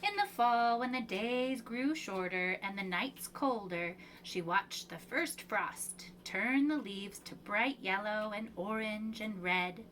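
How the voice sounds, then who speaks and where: A woman reading a children's picture book aloud with measured, expressive phrasing for young children, as a preschool storyteller. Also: mid-range voice, slight background noise, rough recording.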